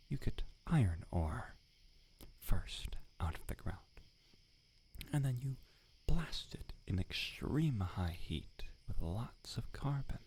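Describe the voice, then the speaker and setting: A man's voice: sits low, darkish tone, heavily acted. A man playing a prickly, irritable village swordsmith, in whispered, sarcastic roleplay ASMR. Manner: whispered, exasperated, sarcastic scolding. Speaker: ASMR voice actor.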